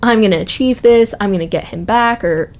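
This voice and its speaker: A woman's voice: medium pitch, brisk, darkish tone. A young adult woman chatting casually on a podcast, informal and reflective, feeling her way through personal stories.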